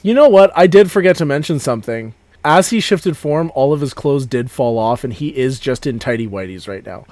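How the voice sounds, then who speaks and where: A man's voice: mid-range voice, animated, brisk, darkish tone, dry recording. A man acting as game master, narrating a tabletop game in a casual, descriptive way.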